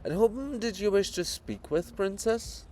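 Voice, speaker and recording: A man's voice: high-pitched, animated, unhurried, darkish tone, pitched above the performer's own voice. A man playing the statue of an old fatherly poet, declamatory and anguished, in a dramatic reading. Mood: weary, anguished. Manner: declamatory, rhetorical. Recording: clearly noisy background.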